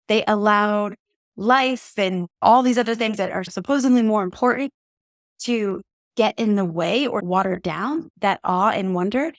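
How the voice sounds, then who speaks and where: A woman hosting a podcast, giving earnest, encouraging advice in a steady, reflective teaching monologue. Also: mid-range voice, a little slow, no room sound, studio quality. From native English speaker. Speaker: podcast host.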